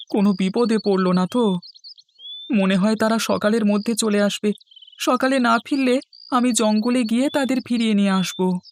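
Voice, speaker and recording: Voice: mid-range voice, slight background noise, close to an everyday voice. A performer voicing an honest, humble, simple-hearted youngest brother in a cartoon, earnest and pleading. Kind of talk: Bengali moral-tale cartoon voice acting.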